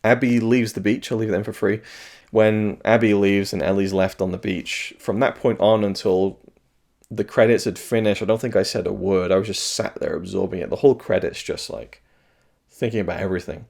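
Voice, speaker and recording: Man, low gravelly voice, slightly hoarse, rambling game commentary, lots of restarts. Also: fairly even intonation, a little fast, a little mellow. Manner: rambling, thoughtful, many restarts and fillers. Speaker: video game reviewer. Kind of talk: casual solo commentary.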